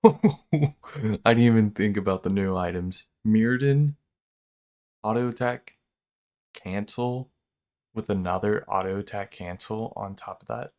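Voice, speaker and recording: A man with a stuffy nose from a sinus infection, giving blunt, excitable reaction commentary as a video creator. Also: on the low side, unhurried, pauses often.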